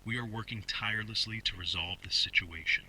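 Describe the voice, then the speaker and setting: A man playing a stern police spokesman reading a scripted emergency broadcast in a formal, measured, official manner with a husky voice. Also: lowish voice, sharp tone, slight background noise, rough recording. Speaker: public emergency announcer.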